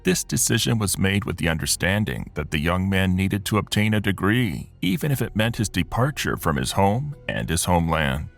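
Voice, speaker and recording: Low, gravelly male narrator for a true crime story; formal and measured, animated pitch, soft music underneath. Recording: music bed behind narration.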